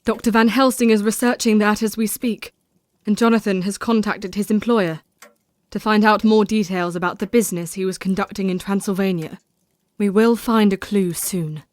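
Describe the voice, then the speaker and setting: A woman's voice: mid-range voice, steady tone, moderate pace, no room sound, close to an everyday voice. A woman acting in an audio drama as a resolute, grieving young Victorian woman who speaks earnestly and formally.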